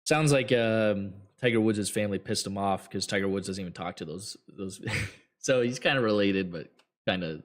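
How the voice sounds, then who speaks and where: Blunt, rambling man going hoarse. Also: lowish voice, moderate pace. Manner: blunt, rambling, many fillers and restarts. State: voice getting hoarse. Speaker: trading show host. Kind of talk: casual advice talk to viewers.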